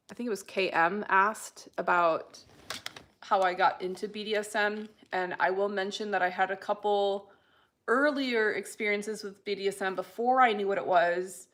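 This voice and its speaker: An American woman talks through a live stream in an easygoing, unhurried way. She reads comments out loud and answers them with plenty of ums and loose asides. Her voice sits at an ordinary middle pitch. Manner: relaxed, unhurried, many fillers; reads viewer comments aloud. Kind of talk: casual live-stream chat.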